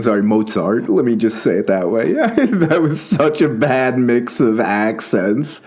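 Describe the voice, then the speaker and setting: A man's voice: on the low side, darkish tone. A man hosting a casual commentary monologue, rambling and self-interrupting, often breaking into laughter. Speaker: host.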